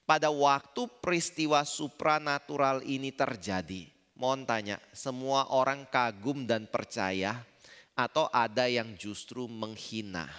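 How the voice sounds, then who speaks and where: Javanese-accented pastor guy preaching, bright clear voice, long church-hall reverb. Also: mid-range voice, fairly even intonation, measured pace. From Indonesia. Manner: conversational preaching with rhetorical questions to the congregation. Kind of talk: sermon.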